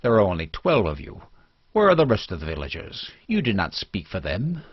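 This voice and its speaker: A man's voice: on the low side, wildly swooping pitch, brisk, slightly rough, no room sound, full character voice. A man voicing a proud, steadfast husband in a fantasy audiobook, grave and restrained. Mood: dignified, defiant. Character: proud, steadfast immigrant husband defending his home. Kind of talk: dramatic fantasy audiobook dialogue. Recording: dull lo-fi recording.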